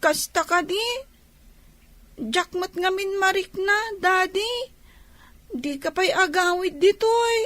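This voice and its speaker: A woman's voice: very high-pitched, slow, pauses often, fairly bright tone, no echo, exaggerated voice. A woman playing a sweet, innocent little child in a radio drama, with an Ilocano accent. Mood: innocent, questioning.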